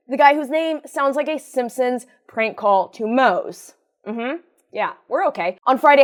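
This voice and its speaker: A fairly high-pitched female voice presents the news as commentary. She hosts in a conversational, informal register, with a sardonic edge and wry asides. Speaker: host of a news commentary show.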